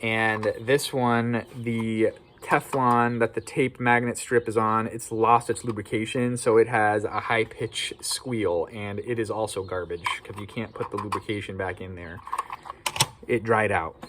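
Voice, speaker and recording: A man's voice: lowish voice, fairly even intonation, measured pace. A man with an American accent talking casually as a video host, plain-spoken and opinionated. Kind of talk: casual informal video talk about collecting. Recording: clearly noisy background ambience.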